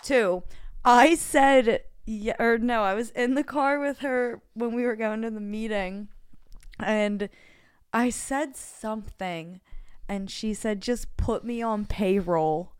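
A young woman co-hosting a podcast, telling animated stories and swearing freely in casual chat. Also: on the high side, takes it slowly, smooth, no room sound, studio quality. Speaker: podcast co-host.

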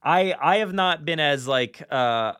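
A man's voice: a little high, expressive, unhurried, fairly bright tone, clear, resonant tone, dry recording, studio quality. A man hosting a podcast in casual fan chat, enthusiastic but often restarting and repeating his phrases. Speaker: podcast host.

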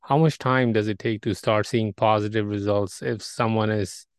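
A man with a smooth, clear voice asks his guest questions, pausing and rephrasing as he goes. The recording is dry and close. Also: on the low side, fairly even intonation, no room sound. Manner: halting, rephrasing questions, many fillers. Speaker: interviewer. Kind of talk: interview questions.

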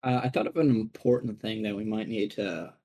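A young man co-hosting a podcast, calm and measured with dry, sarcastic remarks. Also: fairly low pitch. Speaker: podcast co-host. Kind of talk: casual chat among friends.

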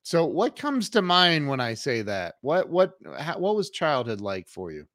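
A man hosts a podcast interview with upbeat enthusiasm, often stopping and restarting his sentences. His voice is bright, smooth and fairly high for a man. It sounds dry and close to the mic. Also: very animated, measured pace, dry recording. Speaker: podcast host. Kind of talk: casual interview chat.

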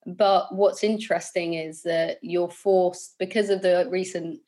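A woman's voice: fairly low pitch, fairly even intonation, unhurried, warm tone. A woman with a London accent, a guest in a casual interview, talking thoughtfully with fillers and restarts. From England.

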